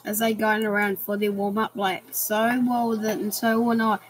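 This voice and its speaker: A woman's voice: medium pitch, little pitch movement, normal speed, a little hiss or hum, rough recording. A woman giving casual, eager commentary on a racing game and building hype with questions. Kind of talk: casual hobby race commentary.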